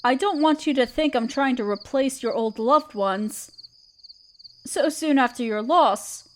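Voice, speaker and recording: A woman playing a gentle, protective half-spider queen in a roleplay audio drama, speaking tenderly and soothingly to the listener. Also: sits high, a little slow, slight background noise. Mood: happy, caring. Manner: tender, soothing, hesitant and coaxing. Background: chirping crickets.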